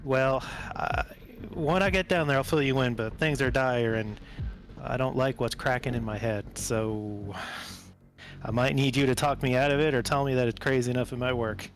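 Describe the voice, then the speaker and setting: A wary, plain-spoken military pilot and protective husband, played by a man at a game table. His mid-pitched voice comes haltingly, with faint music behind it. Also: steady tone, lightly acted. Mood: uneasy, firm. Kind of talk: tabletop role-play dialogue.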